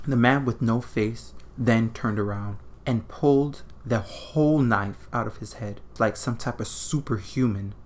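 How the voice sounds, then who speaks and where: A man narrating a comedic horror story in an informal, slangy, irreverent way. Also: on the low side.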